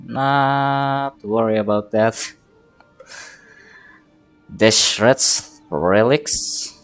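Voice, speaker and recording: Very slow-talking woman streamer. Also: extremely low pitch. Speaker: live streamer playing a game. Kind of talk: casual murmured reactions to game dialogue. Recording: game music behind the voice.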